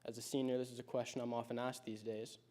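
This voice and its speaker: A high school senior in his late teens delivering a prepared personal speech, telling his story in a reflective, measured way. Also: level tone, a little mellow.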